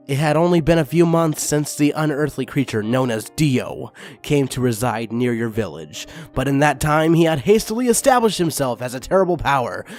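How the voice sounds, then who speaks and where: Man, story reader, channel host, lively pitch, excitable crude asides, faint music behind. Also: moderate pace. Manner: excitable and crude in the intro, then steady reading. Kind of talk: reading fanfiction aloud.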